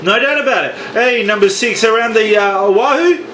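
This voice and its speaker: A man's voice: high-pitched, moderate pace, sharp tone. An Australian man chatting on CB radio in slang, with repetitive, showy catchphrases and sign-offs. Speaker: CB radio operator. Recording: static-laced radio ambience, background noise.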